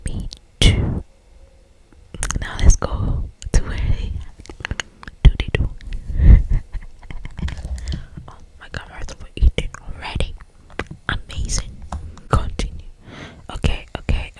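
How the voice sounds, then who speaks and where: A woman reading a story for ASMR in a breathy, intimate, drawn-out whisper. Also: poor audio. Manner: slow, intimate, drawn-out delivery. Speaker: ASMR reader.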